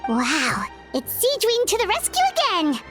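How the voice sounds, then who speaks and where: Squeaky, perky little fairy companion. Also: female voice, wildly swooping pitch, unhurried, exaggerated voice. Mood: excited. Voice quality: squeaky, childlike. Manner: exclamatory, emphatic, questioning. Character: small childlike floating fairy companion, perky, blunt and curious. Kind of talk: video game voice acting. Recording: music playing behind the voice.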